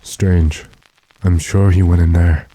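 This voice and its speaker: A man playing a stern, determined gentleman in a radio drama, speaking in a commanding, impatient way. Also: low-pitched, flat delivery, slow pace, poor audio. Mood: intent, commanding. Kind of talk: classic radio drama acting.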